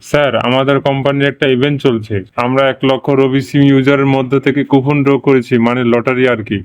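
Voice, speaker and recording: A man's voice: mid-range voice, flat delivery, quick pace, smooth, no room sound, lightly acted. A man playing a polite scam caller who poses as a company agent, with a Bangladeshi accent and a formal, explanatory manner. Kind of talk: scripted prank phone call dialogue.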